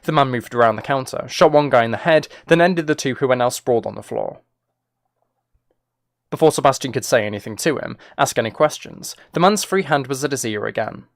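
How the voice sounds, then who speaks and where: A man's voice: mid-range voice, expressive, brisk, vocal fry, dry recording, studio quality. A man giving a dramatic scripted audiobook-style narration as an author reading a story. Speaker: author and streamer reading own book.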